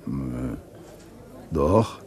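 A man acting a wary, streetwise small-time burglar in a German radio crime drama, laconic and slangy, with a gravelly, raspy voice. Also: a bass voice, big pitch swings, extremely slow, darkish tone, heavily acted.

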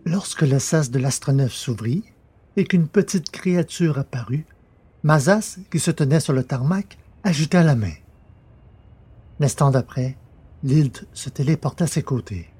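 A man's voice: a little high, animated, a little slow, dark tone, husky. A man narrating a scripted science fiction story as the narrator of an audio drama.

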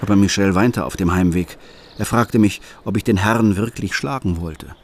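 A man's voice: lowish voice, moderate pace, natural voice. A man narrating as a brooding father. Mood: reflective. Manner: calm, reflective, confessional. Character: troubled middle-aged father narrating. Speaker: first-person narrator. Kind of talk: scripted radio play narration.

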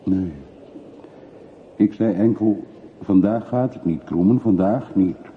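A man's voice: on the low side, slow pace. An actor playing a brooding middle-aged man back from long exile in a radio play, terse, evasive and reflective. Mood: wistful, remembering. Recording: muffled phone-like old radio recording, faint hiss.

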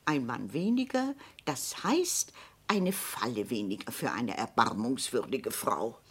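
A woman's voice: very deep voice, big pitch swings, unhurried, slightly rough, heavily acted. An actress playing an imperious, man-hating old consul's widow in a radio play, with a haughty, grandiose delivery. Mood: scornful, grand. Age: older.

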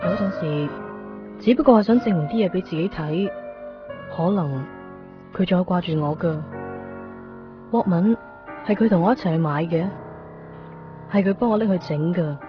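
Inner-voice narrator: actress playing a reflective, lonely young Hong Kong Cantonese woman, lowish pitch, clear guitar music underneath. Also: lightly acted. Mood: wistful. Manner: reflective, measured. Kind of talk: dramatic monologue narration, radio drama. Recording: muffled radio broadcast with guitar music.

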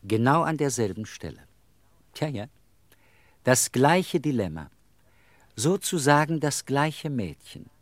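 Animated, eccentric middle-aged beggar. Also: male voice, medium pitch, slow pace, long pauses, no room sound. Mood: wistful confiding. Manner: theatrical, rambling storyteller, wry. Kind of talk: radio play acting.

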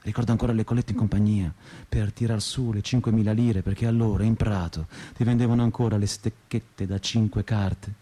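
A man's voice: fairly low pitch, fairly even intonation, brisk, mellow, close to an everyday voice. An actor playing a sardonic, restless stoner man. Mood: bitter, declamatory. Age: 30s. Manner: sarcastic, slangy, declamatory, swinging between mutter and outburst. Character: restless, sardonic 35-year-old provincial student pot-smoker telling his story. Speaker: stage actor, monologue performer. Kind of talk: theatrical radio monologue.

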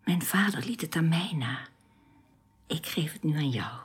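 An actress playing an earnest, tender, youthful alien woman in a radio drama, with precise, formal phrasing that often turns hushed. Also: sits low, lively intonation, moderate pace, slightly rough, exaggerated voice.

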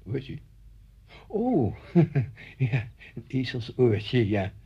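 An actor in a radio play playing a flustered, easily indignant schoolmaster at home, hesitant and blustering. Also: male voice, mid-range voice, very animated, extremely slow, warm tone, breathy edge, exaggerated voice. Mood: affable, uneasy. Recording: slightly dull old radio recording.

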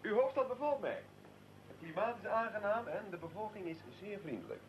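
An actor playing a suave, evasive Russian military attaché in a radio drama, unctuously polite and deliberate. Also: male voice, sits high, expressive, slight background noise, low-quality recording. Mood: effusively polite.

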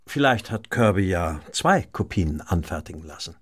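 An actor playing an agitated, well-to-do married gentleman in a German radio drama, speaking formally and fretfully. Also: low voice, big pitch swings, normal speed, breathy edge, exaggerated voice. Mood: tense, defensive.

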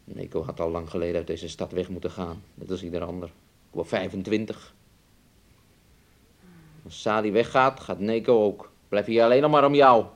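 A man's voice: low-pitched, fairly even intonation, moderate pace. An actor playing a menacing, mocking young man in a radio play, taunting and wheedling as he speaks of himself in the third person. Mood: gloomy, brooding. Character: menacing, mocking young man bullying his sick fiancée. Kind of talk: Dutch radio play acting.

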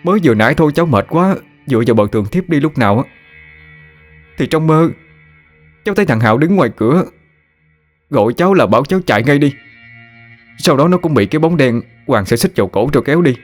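A man's voice: on the high side, expressive, fast talker, pauses often, soft background music, voice pushed up. A man voicing a frightened young man who is shaken after a dream of his dead friend, talking in an urgent, pleading way.

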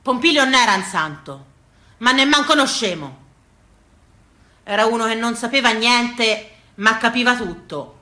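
A woman's voice: on the high side, moderate pace, bright, forceful tone. A woman with a Tuscan accent performing a theatrical monologue as a storyteller, folksy, emphatic and rhythmic. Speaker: stage actress and storyteller. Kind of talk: theatrical monologue of rustic family memories. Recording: echoey, roomy sound.

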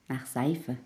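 An actress playing a cool, detached young escort who answers curtly in a radio drama. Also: female voice, low voice, warm tone, exaggerated voice. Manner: curt, detached.

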